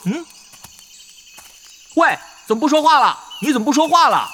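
A man acting in an audio drama as a cocky, talkative young gamer who chatters excitably and nags. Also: very high voice, a little hiss or hum, full character voice. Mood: excited, pressing. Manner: chatty, rapid-fire, repeats himself.

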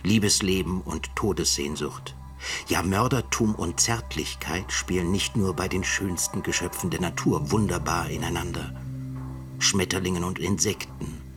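A male actor plays a scholarly author in a radio play, reading his essay in a low, grave voice. His delivery is formal and rhetorical, with prominent music behind. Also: normal speed, close to an everyday voice. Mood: grave, reflective. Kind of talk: literary reading in radio drama.

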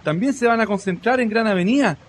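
A Chilean actor playing a working-class comrade and organiser who reassures others in a radio drama. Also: male voice, high voice, fast, lightly acted. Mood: reassuring. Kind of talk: radio play acting. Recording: noisy recording with ambience.

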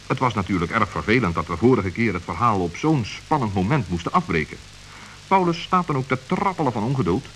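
A man narrating a children's radio play with lively, dramatic storytelling. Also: lowish voice, poor audio. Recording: hissy old radio recording.

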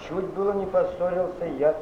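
An echoey, noisy theatre tape carries an actor as a sardonic, restless male poet. His voice is high for a man, and he delivers his lines as a stage monologue. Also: fairly even intonation, a little fast, muffled recording, close to an everyday voice. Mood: wry, restless.

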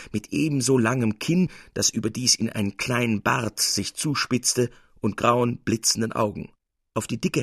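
A male narrator reads classic literary prose. His delivery is precise and theatrical, with a husky grain to a medium-pitched voice. The sound is very dry and close. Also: dry recording. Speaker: narrator, reader. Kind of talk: expressive scripted literary narration.

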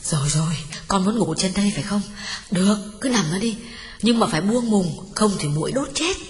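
A man's voice: high-pitched, animated, a little fast, voice pushed up. A man voicing a frightened young wife who trembles and pleads in a ghost story. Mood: soothing. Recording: music underneath, long reverb.

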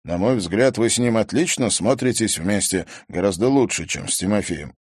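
A male audiobook reader voicing a calm, blunt young male student who gives straight advice. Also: lowish voice, moderate pace, husky, no echo, clean studio sound. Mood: advising. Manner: calm, matter-of-fact.

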